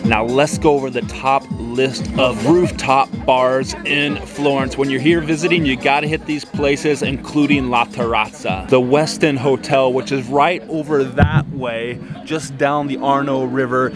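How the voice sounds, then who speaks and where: A man in his forties presents a travel vlog with an enthusiastic, emphatic delivery. His voice sits at a middle pitch, speaking close to a handheld camera outdoors, with music clearly playing behind him. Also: fairly even intonation, unhurried, few pauses. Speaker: travel vlogger. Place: outdoors, city streets and an open rooftop terrace. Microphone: handheld camera at arm's length.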